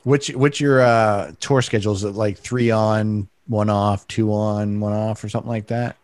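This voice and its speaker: A man co-hosting a casual interview over a video call, chatty and quick to agree. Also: fairly low pitch, fairly even intonation, a little mellow, dry recording, studio quality. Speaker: podcast co-host.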